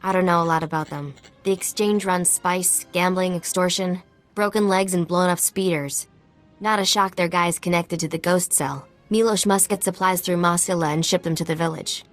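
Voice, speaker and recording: A woman's voice: fairly low pitch, steady tone, normal speed, faint music behind, lightly acted. A woman playing a wry, wary underground informant in a video game, dry and matter-of-fact. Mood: dry, informative. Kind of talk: video game dialogue acting.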